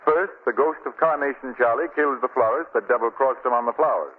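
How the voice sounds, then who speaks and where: A man's voice: medium pitch, fairly quick, close to an everyday voice. An actor playing a brash, slangy American wise guy who needles others, in 1940s radio drama style. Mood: pushy. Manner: slangy, pushy. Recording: scratchy, tinny 1940 radio recording.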